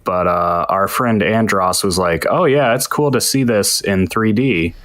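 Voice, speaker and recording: Easygoing male podcast co-host, low voice, dry close mic. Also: a little slow, a bit bright, no room sound. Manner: easygoing, anecdotal storytelling. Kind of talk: casual podcast chat.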